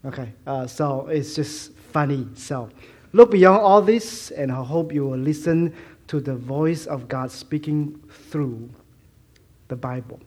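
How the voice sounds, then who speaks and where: A middle-aged man from Malaysia preaching a sermon in English, with a mixed Malaysian, Hong Kong and Fuzhou accent, halting with many fillers and restarts and self-deprecating humor. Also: fairly high pitch, darkish tone, slightly rough. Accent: Malaysian Chinese English, mostly Fuzhounese-tinged.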